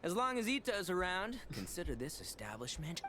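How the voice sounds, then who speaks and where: Rowdy, boastful oni man, man playing it high and bright. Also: big pitch swings, a little fast. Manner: swaggering, boastful.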